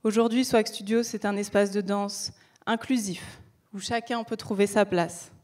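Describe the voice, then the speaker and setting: A young woman founder giving a prepared stage talk, measured, with rhetorical questions and light humor. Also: medium pitch, steady tone, a little mellow. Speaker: project founder presenting.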